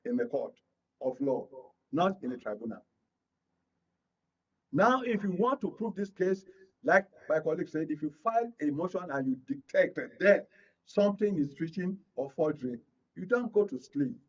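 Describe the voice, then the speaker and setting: Higher-pitched Nigerian man explaining on a panel. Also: lo-fi sound. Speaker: media analyst, panel guest. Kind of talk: political panel discussion.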